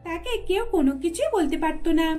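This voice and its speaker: A woman's voice: very high voice, lively intonation, fast, dark tone. A woman narrating a scripted cartoon story.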